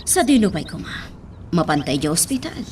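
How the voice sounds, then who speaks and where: A woman's voice: lowish voice, big pitch swings, moderate pace. A woman playing an insistent, nagging wife in a radio drama, with an Ilocano accent. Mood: insistent, reproachful. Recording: faint birdsong and background noise.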